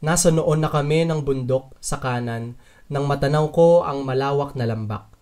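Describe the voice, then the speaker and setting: Guy voicing a curious careful traveler, first person story narration, medium pitched voice. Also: normal speed, a little mellow, lightly acted. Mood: narrating. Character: curious, wary traveler narrating his mountain trek. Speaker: narrator and lead character of a dubbed short story.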